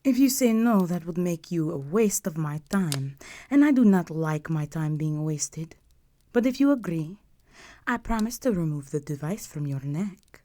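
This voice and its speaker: A woman's voice: on the low side, expressive, a little slow, warm tone. A woman playing a regal, possessive vampire countess in an intimate audio roleplay, speaking to the listener in a formal, imperious and seductive manner. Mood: commanding, persuasive.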